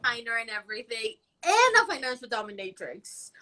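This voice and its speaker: Bold, bright-voiced young woman. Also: a little high, sing-song, a little fast, a little hiss or hum. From Puerto Rico. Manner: bold, blunt, self-assured. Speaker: guest. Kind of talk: casual interview.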